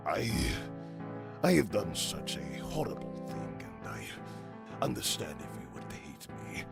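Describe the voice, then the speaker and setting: An actor playing a guilt-ridden dead man in a visual novel game, confessing dramatically and haltingly in a gravelly voice. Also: on the low side, wildly swooping pitch, heavily acted. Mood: anguished remorse. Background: music.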